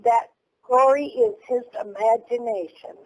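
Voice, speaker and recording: A woman's voice: on the high side, unhurried, darkish tone, a little hiss or hum, low-quality recording. A woman in her seventies chatting as a guest, answering plainly and unhurriedly. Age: 70s. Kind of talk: casual chat.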